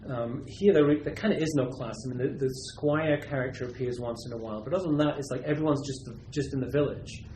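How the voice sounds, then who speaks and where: A man from Britain, a literary translator, answering questions at a university seminar in a thoughtful, halting way with many restarts. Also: medium pitch, dark tone, echoey room, slight background noise, low-quality recording.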